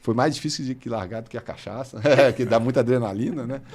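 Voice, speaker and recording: A Brazilian man in his fifties with a gravelly, creaky voice, chatting as an interview guest. He talks in a relaxed storytelling way and laughs often. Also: mid-range voice, fast talker. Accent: Brazilian Portuguese. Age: 50s. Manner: chatty, laughs often while telling stories. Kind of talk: casual interview chat.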